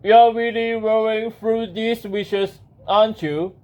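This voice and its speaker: A man voicing a grand, breezily unhelpful female genie in a children's audiobook, with drawn-out, theatrical delivery. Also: high-pitched, steady tone, dull audio. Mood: amused surprise.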